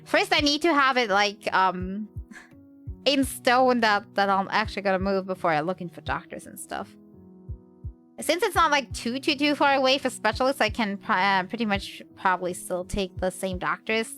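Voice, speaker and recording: A woman's voice: mid-range voice, animated, moderate pace, fairly bright tone, soft background music. A woman livestreamer with a slight cold, chatting casually in a hesitant, rambling way.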